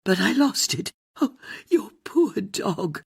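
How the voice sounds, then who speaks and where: A man's voice: sits high, big pitch swings, a little slow, slightly rough, no room sound, clean studio sound, voice pushed up, full character voice. A man reading aloud, voicing a flustered elderly woman who is out of breath. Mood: breathless, upset. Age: older. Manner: flustered, fretful.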